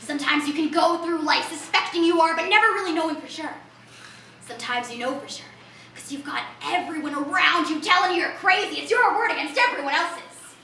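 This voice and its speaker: Woman as a raspy, cackling street eccentric; sharp, bright tone, mic set back from her. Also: high-pitched, a little fast, a little hiss or hum. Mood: musing. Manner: rambling, confrontational, cackling laughs. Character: eccentric street woman awaiting space aliens. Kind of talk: theatrical comic monologue. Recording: roomy, distant mic.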